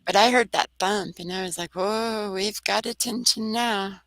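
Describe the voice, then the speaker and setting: A soft-voiced woman, a guest on a talk radio show, telling a personal story in an earnest, rambling way. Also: mid-range voice, a little slow, dry recording. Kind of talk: casual storytelling.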